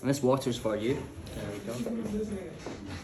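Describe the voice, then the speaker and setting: A man running a guided chocolate tasting, friendly and brisk, checking on each guest. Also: mid-range voice, sing-song. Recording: echoey room with background noise.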